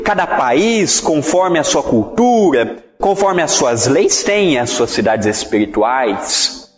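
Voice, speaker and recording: A man with a Brazilian accent giving a religious lecture in a didactic, emphatic way. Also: high-pitched. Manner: didactic, emphatic, with rhetorical questions and repetition. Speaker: Spiritist lecturer. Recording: echoey hall, dull sound, music.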